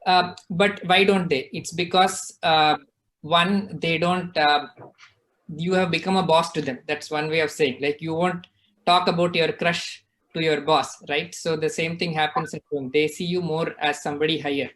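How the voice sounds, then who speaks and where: A man's voice: fairly high pitch, fairly even intonation, normal speed, fairly bright tone, rough recording. A man, earnestly explaining his views on parenting in a casual online discussion and often checking in with "right?". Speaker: guest speaker. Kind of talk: casual discussion on a video call.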